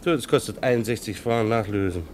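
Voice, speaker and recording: An actor playing a courteous but insistent French train conductor in a radio drama, with a wry manner. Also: male voice, lowish voice, brisk, darkish tone, a little hiss or hum, close to an everyday voice.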